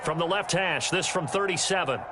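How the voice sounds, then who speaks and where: Play-by-play announcer, American male actor, slightly high pitch, polished video game sportscast, heavy game noise behind. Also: a little fast, a crowd in the background, lightly acted. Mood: brisk, focused. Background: loud football game sounds.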